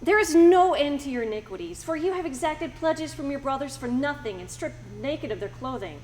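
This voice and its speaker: A woman's voice: sits high, animated, brisk, a little mellow, slight background noise. A woman playing a reproachful, accusing friend in an amateur church Bible drama, scolding and declaiming.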